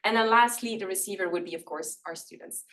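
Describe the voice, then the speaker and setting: A woman's voice: mid-range voice, fast talker, fairly bright tone, recorded from a distance. A woman from northern Belgium whose first language is Dutch, giving a public lecture as a professor in a casual, self-deprecating way with many fillers and small laughs. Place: lecture room.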